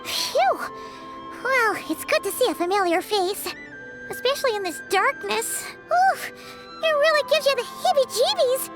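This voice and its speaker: Tiny girl companion, very high squeaky voice, bouncy lively delivery, jumpy and chatty, game dialogue. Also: measured pace, music in the background. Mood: spooked. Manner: excitable, easily spooked, animated.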